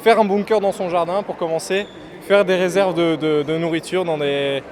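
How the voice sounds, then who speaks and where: A man's voice: high-pitched, steady tone, moderate pace, a crowd in the background. A man stopped in the street for an interview, talking bluntly and emphatically. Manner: blunt, doom-laden and emphatic. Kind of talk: street vox pop.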